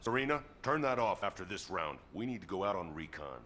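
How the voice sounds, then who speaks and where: Gruff squad leader man from a game, real low voice, no nonsense, faint background noise. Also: sing-song, brisk, sharp tone, heavily acted. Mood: dry, suspicious. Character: gruff, responsible squad leader, looks after team. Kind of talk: video game voice acting.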